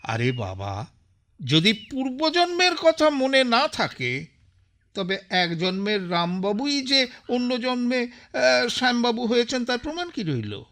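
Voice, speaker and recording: A musing older scholar. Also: male voice, very high-pitched, sing-song, fairly bright tone, heavily acted. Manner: halting, musing, repeats words. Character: older man, learned friend, speaks of the afterlife. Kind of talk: radio play acting. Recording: radio play with faint music.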